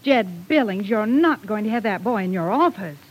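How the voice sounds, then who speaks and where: An actress playing a spirited, bossy middle-aged housewife with an emphatic manner in an old radio drama. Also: female voice, medium pitch, lively intonation, moderate pace, breathy edge, low-quality recording. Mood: indignant. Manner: emphatic, sharp-tongued. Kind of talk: 1940s radio drama acting.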